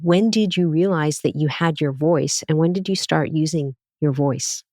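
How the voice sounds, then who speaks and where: A woman's voice: deep voice, moderate pace, warm tone, no room sound. A woman doctor hosting a podcast who asks direct, earnest questions and tells plain stories from her training. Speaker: physician podcast host. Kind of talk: podcast interview questions and storytelling.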